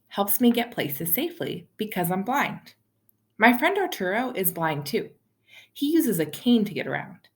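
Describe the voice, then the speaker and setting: A woman reading a children's picture book aloud expressively, as a storyteller speaking to young listeners. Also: medium pitch. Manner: animated, addresses child listeners with questions.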